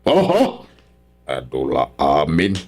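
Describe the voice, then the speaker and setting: A man's voice: very deep voice, huge pitch swings, slow, a little mellow, soft background music, heavily acted. A man playing a stern, scornful, wealthy older father-in-law in an Ilocano radio drama, scolding haughtily with an Ilocano accent. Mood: angry, accusing.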